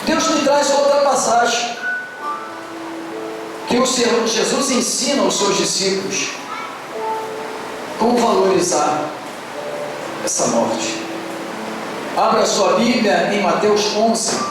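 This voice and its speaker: A man, preaching a fervent sermon as pastor and swinging between hushed and shouted, sing-song phrasing. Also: high-pitched, lively intonation, slow pace, pauses often. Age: 50s. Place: church service. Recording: very echoey hall, picked up from a distance. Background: music playing.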